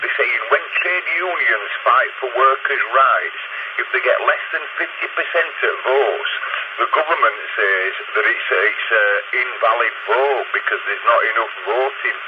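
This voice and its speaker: A Northern English man talks over a tinny, very noisy CB radio link at a middling pitch. He is blunt, opinionated and sweary, in loose casual chat. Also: measured pace. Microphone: CB radio handset. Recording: tinny CB radio, heavy noise.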